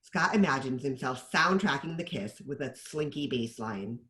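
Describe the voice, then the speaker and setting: A man reads screenplay stage directions as narrator. His mid-range voice moves with very lively intonation, in the style of scripted storytelling. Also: mid-range voice, normal speed.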